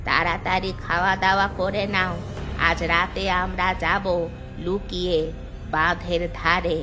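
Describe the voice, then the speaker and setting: A bossy, scheming village wife. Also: female voice, lowish voice, flat delivery, unhurried, bright, forceful tone. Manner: impatient, scolding. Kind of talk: dubbed cartoon dialogue. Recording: music in the background.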